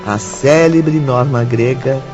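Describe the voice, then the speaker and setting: An actor with a Brazilian accent playing the formal, evocative storyteller of a radio drama. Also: male voice, mid-range voice, takes it slowly, lo-fi sound, lightly acted. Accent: Brazilian Portuguese. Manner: formal, theatrical. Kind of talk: dramatic scripted narration. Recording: band-limited audio with music behind.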